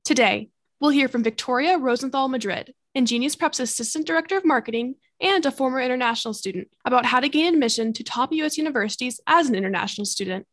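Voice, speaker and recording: Brisk woman podcast host. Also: sits high. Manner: brisk, polished delivery. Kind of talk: scripted intro and interview questions.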